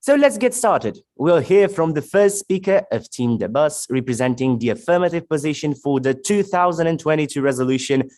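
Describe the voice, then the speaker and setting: Male debate moderator, formal and measured, animated pitch, video call. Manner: measured, announcing formal introductions.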